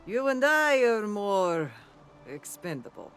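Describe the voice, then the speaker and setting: An actor playing a noble, loyal armored knight in a fantasy video game, speaking in a measured, formal, theatrical way with wry self-deprecation. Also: male voice, high-pitched, big pitch swings, fairly bright tone, soft background music, heavily acted. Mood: grave, conspiratorial.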